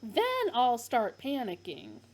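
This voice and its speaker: A woman's voice: on the high side, very animated, takes it slowly, a little mellow, full character voice. Arthur, a woman voicing a sputtering, indignant man. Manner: exasperated, sputtering. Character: panicky indignant Englishman, sarcastic.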